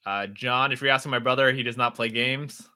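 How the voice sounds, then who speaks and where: A man's voice: medium pitch, steady tone, a little fast, pushed and bright. A young man whose first language is Mandarin hosting a casual livestream Q&A, upbeat and teasing, reading viewer comments. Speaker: host. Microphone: video livestream.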